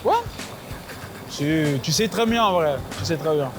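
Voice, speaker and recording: A man's voice: a little high, expressive, unhurried. A man in his twenties making casual chat, politely asking questions and echoing answers back. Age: 20s. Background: music.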